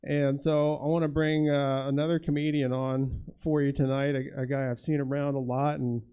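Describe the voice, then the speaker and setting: A man hosts a comedy night from a theater stage, talking casually with lots of fillers and restarts. His voice sits at a middling pitch, and he speaks into a stage mic. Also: little pitch movement, mellow, smooth. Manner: casual, halting, many fillers. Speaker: host and producer of the show. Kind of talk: stand-up show hosting.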